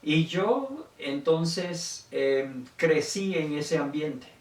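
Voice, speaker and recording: A man's voice: on the high side, expressive, extremely slow, a little mellow. An older man from Venezuela giving an informal autobiographical talk in a reflective, conversational manner. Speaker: speaker telling his family language history. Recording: echoey room, distant microphone.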